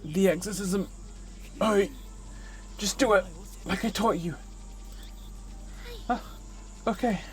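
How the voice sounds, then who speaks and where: A man's voice: sits high, slow, pauses often, pitched above the performer's own voice. A man voicing a shy, girlish young man from a visual novel, with hesitant, stammering speech. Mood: timid, hesitant.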